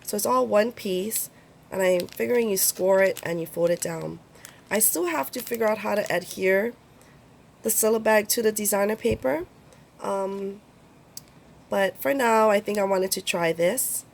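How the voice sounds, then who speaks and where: A woman's voice: medium pitch, normal speed, vocal fry. A woman with a cold, sounding congested, chatting casually as she shows her craft swap items. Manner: chatty, rambling, many ums. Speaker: crafting YouTuber. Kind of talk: casual show-and-tell vlog.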